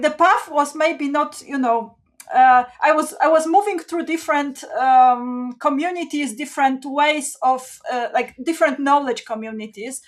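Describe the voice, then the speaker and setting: A woman from Poland, a social scientist, answering interview questions in a halting way with many restarts, fillers and frequent laughs. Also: sits high. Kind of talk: casual interview conversation.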